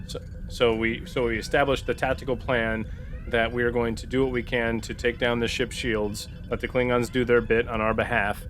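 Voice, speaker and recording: Man, mid-pitched voice, casual tabletop player, tentative, thinking aloud, slight noise behind. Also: level tone, normal speed, a bit bright. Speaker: player in a tabletop roleplaying game. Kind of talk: casual game-table discussion.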